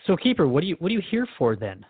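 A man's voice: fairly high pitch, wildly swooping pitch, racing, breathy edge, no room sound, phone-quality sound. A man hosting a radio interview, conversational and inquisitive, thinking aloud as he asks. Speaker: radio show host interviewer.

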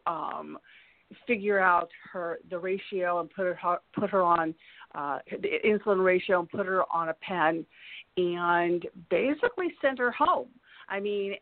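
Halting woman in her sixties, phone-like sound. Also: mid-range voice, dry recording. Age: 60s. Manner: halting, pausing often mid-sentence. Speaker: podcast guest. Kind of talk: personal storytelling in conversation.

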